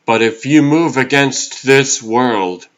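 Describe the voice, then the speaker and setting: A man's voice: medium pitch, slow, highs cut off, heavy background noise, natural voice. A man playing a defiant, plainspoken superhero in a comic dub, with a dry, firm, unimpressed delivery. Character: defiant, wary adult superhero, plainspoken and stern.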